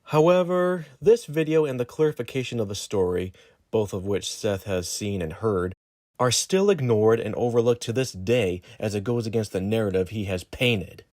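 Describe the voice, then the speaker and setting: A man's synthetic robotic voice reading a formal, scripted exposé in a measured way. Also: fairly low pitch, expressive, darkish tone, no echo, clean studio sound. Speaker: video narrator. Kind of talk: scripted narration, accusatory exposé.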